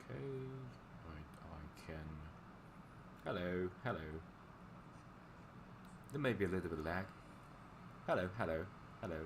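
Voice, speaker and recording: Deep voice guy, native chinese speaker, teaching on a livestream, chatty n halting, repeats himself, noisy audio. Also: expressive. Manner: halting, repetitive delivery. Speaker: language teacher live-streamer. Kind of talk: casual livestream chat.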